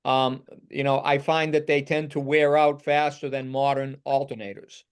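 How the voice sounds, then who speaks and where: A man sharing his experience casually in a group call, in a measured, tactful manner. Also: mid-range voice, fairly even intonation, smooth. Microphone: conference call.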